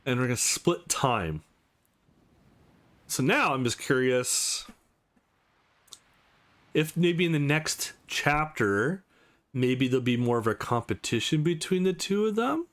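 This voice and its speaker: A man's voice: mid-range voice, animated, takes it slowly, long pauses, a bit bright. A man hosting a let's play, commenting casually on a visual novel as he goes. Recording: music under the voice. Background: game music.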